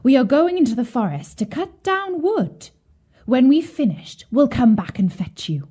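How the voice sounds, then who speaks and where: A woman voicing a cruel, scheming mother in a children's storybook, with a sharp, bossy delivery. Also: high-pitched, very animated, a little slow, husky, pitched above the performer's own voice, heavily acted. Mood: falsely coaxing.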